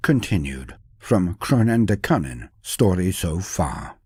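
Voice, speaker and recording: A man's voice: sits low, big pitch swings, takes it slowly, a little mellow, husky, no echo, studio quality. A man delivering dramatic scripted fantasy narration in a grandiose, wry manner with ornate old-fashioned phrasing. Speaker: storyteller, narrator of fantasy war lore.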